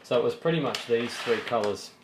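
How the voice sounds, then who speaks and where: A man with a slightly croaky voice, casually showing his painted miniatures and explaining each step with plenty of ums and restarts. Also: medium pitch, fairly quick, background noise. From Australia. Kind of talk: casual show-and-tell vlog.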